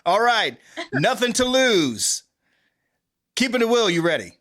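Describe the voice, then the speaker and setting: A male show host with a somewhat high voice for a man. He talks in a casual, conversational podcast style. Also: big pitch swings, a little slow, sharp tone.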